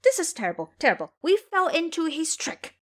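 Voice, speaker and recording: A bold monkey warrior from an audio drama, acted out by a woman. He is combative and commanding, speaking in exclamations with an animated, leaping pitch. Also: brisk, heavily acted. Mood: alarmed. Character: Sun Xingzhe, bold combative male monkey disciple.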